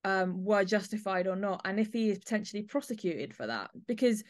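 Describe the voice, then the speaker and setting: A woman hosting a podcast, discussing drama in a measured, analytical way. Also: medium pitch, animated, dry recording, studio quality. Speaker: podcast host. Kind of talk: discussing an audio play.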